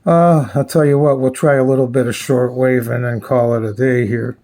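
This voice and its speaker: Gravelly man casually testing a radio. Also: medium pitch, fairly even intonation, moderate pace, warm tone. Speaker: radio reviewer, host. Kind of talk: casual unscripted gadget demo.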